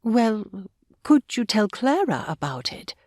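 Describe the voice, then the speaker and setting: Casting: gracious elderly grandmother, gentle and earnest, expressive swooping intonation, close dry audiobook sound. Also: a little high, takes it slowly, no room sound, heavily acted. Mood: tender, earnest. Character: kindly pious old grandmother, gracious and gently probing. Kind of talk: full-cast audiobook acting.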